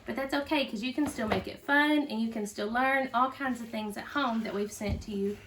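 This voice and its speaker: A woman who teaches first grade, reading aloud to young children in an expressive, animated storybook manner. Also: a little high, brisk, distant mic, background noise.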